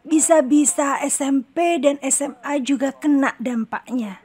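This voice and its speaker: A woman voicing a calm, self-assured psychic in a horror cartoon, speaking in a measured, often hushed way. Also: high voice. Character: calm female psychic, middle-aged, sure of herself. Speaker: paranormal.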